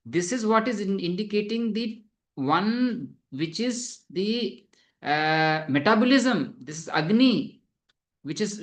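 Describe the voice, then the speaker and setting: A man delivers an academic lecture over a video call, his voice sitting a little high. He explains earnestly but haltingly, pausing often and filling gaps with "uh". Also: a bit bright.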